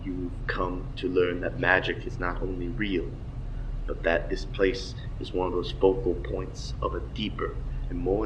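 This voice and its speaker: A man playing a solemn father in his thirties, speaking measured words in a recorded message in an audio drama. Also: low-pitched, natural voice. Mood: grave, earnest. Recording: distant, noisy recording.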